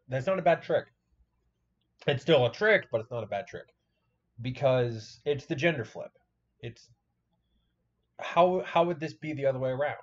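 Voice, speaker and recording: A man's voice: on the high side, lo-fi sound. A man giving casual, profane reaction commentary in a blunt, joking, rambling manner.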